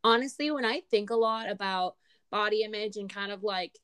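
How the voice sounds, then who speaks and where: A woman in her twenties co-hosting a podcast, chatting casually and animatedly, her voice a little raspy from screaming. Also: medium pitch, a little fast, no echo, studio quality. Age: 20s. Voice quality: animated, laughs often. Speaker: podcast co-host. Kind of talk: casual chat.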